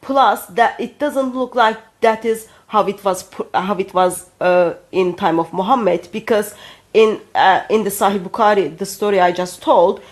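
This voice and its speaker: A woman with a medium-pitched voice and a Turkish accent. Her English is careful and halting, that of a non-native speaker, as she co-hosts an explanatory discussion and cites references. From Turkey. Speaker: co-host.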